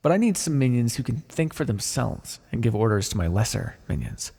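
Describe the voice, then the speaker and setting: A man playing a blunt, callous, wryly businesslike necromancer in an ASMR fantasy roleplay, muttering in a deadpan, gravelly voice. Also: medium pitch, brisk, exaggerated voice. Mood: wry, matter-of-fact. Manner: deadpan, muttering to himself in thought. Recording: long reverberant hall echo.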